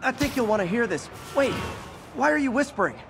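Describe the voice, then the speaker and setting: An actor playing an eager, wisecracking young American superhero scientist in a video game, chatty and a little hesitant. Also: male voice, very high voice, animated, a little fast, full character voice. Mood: chatty, curious. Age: young adult. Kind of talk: naturalistic video game voice acting. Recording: game audio with clear background noise.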